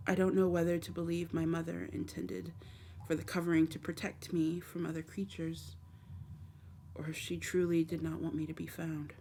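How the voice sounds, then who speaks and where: A woman voicing a gentle, lonely dark fae in an ASMR roleplay, speaking tenderly and hesitantly to the listener in formal storybook phrasing. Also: sits low, flat delivery, normal speed. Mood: wistful, sorrowful. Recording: faint background noise.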